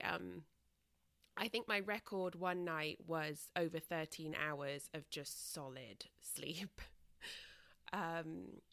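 A woman host raised in Britain, talking through a casual solo podcast, a little congested and croaky, candid and rambling with many ums. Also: lowish voice, a bit bright, no echo. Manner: chatty and candid, many ums and self-corrections.

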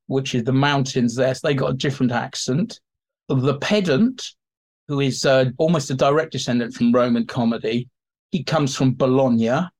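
A man speaking as an expert guest in an interview, explaining history in a chatty, informal way and slipping into acted-out asides. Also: medium pitch.

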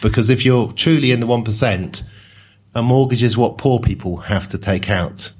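A man explaining ideas in a relaxed, conversational way with wry asides. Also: medium pitch, normal speed, slight background noise. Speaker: speaker on social class. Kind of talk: discussion talk.

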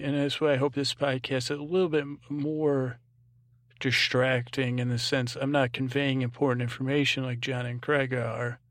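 A man hosting a bedtime podcast, talking in a meandering, halting monologue, often repeating himself and trailing off mid-word. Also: mid-range voice, dry recording, studio quality. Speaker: podcast host. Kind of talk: rambling bedtime monologue to help listeners sleep.

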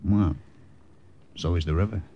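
An actor playing a slangy, wisecracking young American soldier on a sabotage mission, in old radio drama style. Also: male voice, sits low, measured pace, gravelly, warm tone, muffled recording. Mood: resigned. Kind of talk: 1950s radio drama acting. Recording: noisy vintage radio drama recording.

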